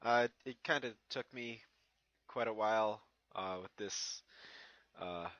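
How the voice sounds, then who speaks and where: A man's voice: lowish voice, takes it slowly, fairly bright tone, no echo, dull audio. A man who reads fan fiction aloud, in casual introductions and narrated story passages.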